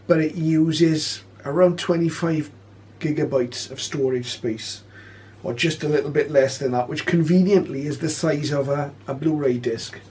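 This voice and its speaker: Slightly higher-voiced man presenting, noisy recording. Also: measured pace, dark tone. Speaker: presenter of a shortwave radio tutorial. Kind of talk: informal spoken tutorial.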